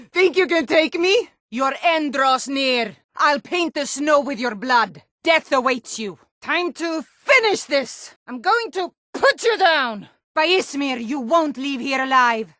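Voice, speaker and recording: Casting: tough female Nord guard for a fantasy game. Fairly high voice, slow delivery, blunt and combative. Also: expressive, sharp tone, no echo, clean studio sound, full character voice. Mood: aggressive, taunting. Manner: stern, taunting, combative. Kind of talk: fantasy video game voice lines.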